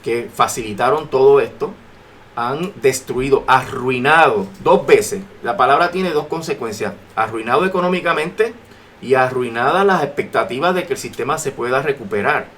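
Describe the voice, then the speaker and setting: A man's voice: mid-range voice, moderate pace, a bit bright. A man with a Puerto Rican accent giving emphatic political commentary as a guest on talk radio, repeating phrases for weight. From Puerto Rico. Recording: noisy AM radio talk recording.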